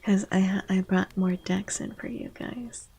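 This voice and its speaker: Breathy, half-whispering woman, soft ASMR. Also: mid-range voice, fairly even intonation, a little slow. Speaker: livestream host chatting with viewers. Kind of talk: soft-spoken ASMR livestream chat.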